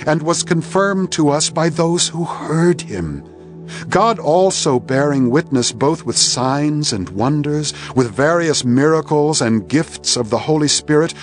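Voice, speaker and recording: A man reads scripture from a script as a narrator, in a solemn, measured and deliberate manner. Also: fairly high pitch. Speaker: Bible narrator. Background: background music.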